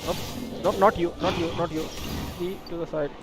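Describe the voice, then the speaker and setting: Male game streamer with a slightly high voice, chatty, mutters asides while playing, faint music behind. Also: steady tone, normal speed. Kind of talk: casual gaming commentary.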